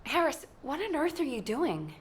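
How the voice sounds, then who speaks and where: An actress playing a capable, stern, dryly wry woman soldier with a brisk manner in a video game cutscene. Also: high-pitched, big pitch swings, a little hiss or hum. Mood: exasperated, brisk.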